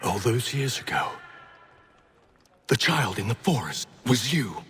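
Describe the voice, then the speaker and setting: An actor playing a pompous, scornful villain of high rank with eloquent, theatrical speech in a video game cutscene. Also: male voice, big pitch swings, unhurried, fairly bright tone, long reverb tail, soft background music. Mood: smug.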